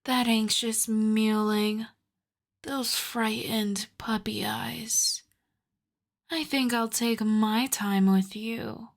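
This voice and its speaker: A woman playing a seductive, possessive vampire countess in an ASMR roleplay, speaking softly and often slipping into whispers, with condescending tenderness. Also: fairly high pitch, fairly even intonation, creaky, full character voice. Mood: predatory, amused. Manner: slow, crooning, condescendingly tender. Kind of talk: ASMR roleplay, acted monologue.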